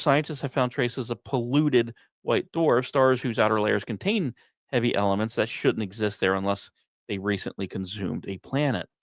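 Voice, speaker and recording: A man hosting a science podcast, reading scripted narration in a measured storytelling way with deliberate pauses. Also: mid-range voice, dry recording. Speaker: podcast host.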